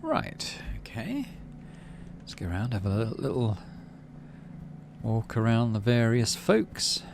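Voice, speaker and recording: A man's voice: mid-range voice, big pitch swings, a little slow, vocal fry, noisy background. A man playing through a video game, reading the story aloud and musing to himself as he decides. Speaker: gaming video host. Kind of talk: game playthrough commentary and read-aloud narration. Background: game music.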